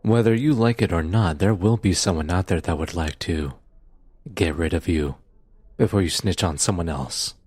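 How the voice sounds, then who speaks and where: A man playing a firm, matter-of-fact police detective in an audio roleplay drama, with a measured, official manner and a husky voice. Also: low-pitched, a little mellow, no echo. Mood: measured, persuasive.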